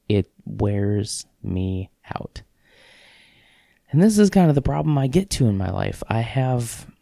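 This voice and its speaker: A man with a low, mellow voice and a gravelly edge, talking through his thoughts on a solo podcast. He rambles in a relaxed, self-deprecating way, restarting his sentences, with an occasional sniffle. The sound is dry and close. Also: no room sound. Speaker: podcast host. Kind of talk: casual solo podcast monologue.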